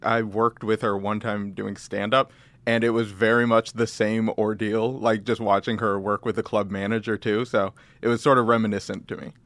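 A man chatting casually as a producer, quick and easygoing, laughing often. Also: lowish voice, flat delivery, fairly bright tone, no room sound. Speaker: associate producer. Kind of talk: casual chat.

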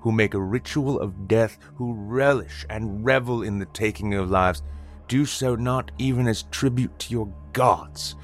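Man doing an ancient god, booming, slow and stern, very low voice. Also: faint music behind, lightly acted.

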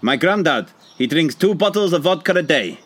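A man's voice: a little high, sing-song, moderate pace, a crowd in the background, voice pushed up. A man voicing a blunt young schoolboy in a put-on Russian accent. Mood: matter-of-fact.

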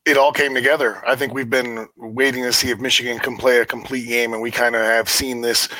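A man, a former player, giving measured post-game analysis from a stadium press box. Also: medium pitch, level tone, bright, forceful tone, no room sound, rough recording. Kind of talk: sports analysis talk.

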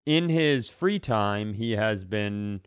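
A man hosting a comedy podcast, telling a story in a casual, comic way with an animated, stop-and-start delivery. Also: fairly low pitch, slow, clean tone, no room sound, studio quality.

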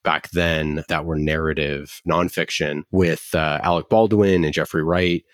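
A man's voice: extremely low pitch, fairly even intonation, measured pace, no room sound, clean studio sound. An American man telling his story as an interview guest in a conversational, explanatory way. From Oakland, United States. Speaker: podcast company founder, interview guest.

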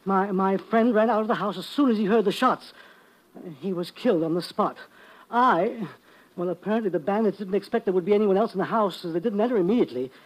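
An actor playing a timid, nervous importer in a 1940s radio drama, talking in halting, stammering bursts. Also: male voice, high voice, poor audio. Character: nervous timid male importer, middle-aged.

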